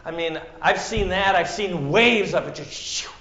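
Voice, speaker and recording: A man from central Minnesota with a Minnesota accent, preaching a sermon with dramatic swings from loud to hushed. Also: high-pitched, measured pace. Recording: echoey room, muffled sound.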